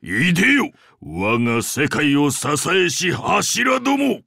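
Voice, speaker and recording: A man voicing an ancient, imperious sea-god father in a Japanese game, speaking in a pompous, archaic and deliberate way with grand indulgence. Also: fairly high pitch, wildly swooping pitch, gravelly, sharp tone, breathy edge, no echo, studio quality, exaggerated voice. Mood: commanding, fierce. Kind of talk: Japanese game character voice acting.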